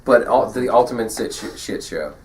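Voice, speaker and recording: A man co-hosting a podcast in casual chat, laid-back and quick to agree, sometimes acting out what a fighter might say. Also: medium pitch, lively intonation. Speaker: podcast co-host. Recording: voice a bit far from the mic, faint hiss.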